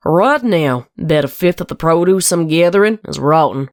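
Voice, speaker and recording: A woman voicing an earnest, burdened farm mare in a dramatic story reading, with an American Southern accent and a folksy, measured delivery. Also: deep voice, expressive, dry recording, clean studio sound. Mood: matter-of-fact, concerned. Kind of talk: dramatic fan fiction reading.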